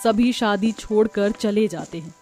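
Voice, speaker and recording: A woman's voice: mid-range voice, measured pace, dark tone, husky, soft background music. A woman narrator reading a scripted cartoon story.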